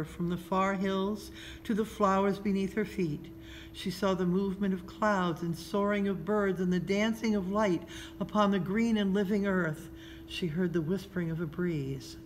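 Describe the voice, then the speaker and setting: A woman narrating a children's storybook expressively as a story reader. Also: medium pitch. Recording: clearly noisy background ambience.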